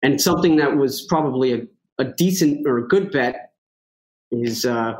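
A man's voice: mid-range voice, normal speed, low-quality recording. A man speaking as a podcast guest in a casual chat, rambling with many fillers and joking at himself. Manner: rambling, lots of fillers and restarts, self-joking.

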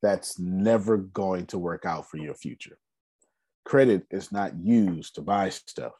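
Husky low-voiced man in his forties. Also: measured pace, no room sound. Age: 40s. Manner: asks rhetorical questions and answers them himself; loose, energetic, repeats key points. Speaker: finance speaker. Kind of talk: live finance seminar talk.

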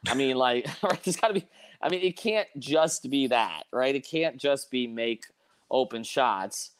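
A man's voice: mid-range voice, a little slow, clean tone. A man hosting a casual sports panel discussion, repeating and restarting phrases for emphasis. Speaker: host.

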